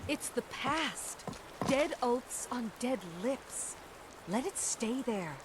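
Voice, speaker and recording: An actress playing a grave, measured woman giving counsel in a fantasy game. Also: a little high, expressive, background noise. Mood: solemn warning.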